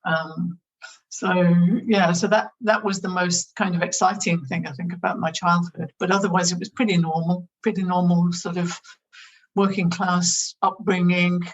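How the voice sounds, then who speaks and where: A woman with a Northern English accent and a fairly low voice answers interview questions. She speaks thoughtfully, pausing on fillers and restarting her sentences. The sound is dull and lo-fi, like a remote call. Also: flat delivery. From England, near Manchester. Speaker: guest. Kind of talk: conversational interview.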